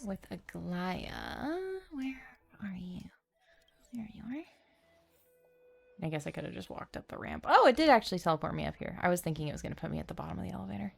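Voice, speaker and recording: A woman's voice: on the low side, sing-song, fairly quick, vocal fry, slightly rough, soft background music. A woman streaming a game, talking casually and reading the story aloud.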